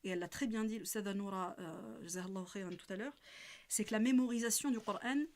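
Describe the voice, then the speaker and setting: A woman's voice: medium pitch, quick pace, a little mellow. Hesitant woman giving a spiritual talk. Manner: hesitant, many fillers and restarts. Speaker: religious speaker. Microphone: live stream.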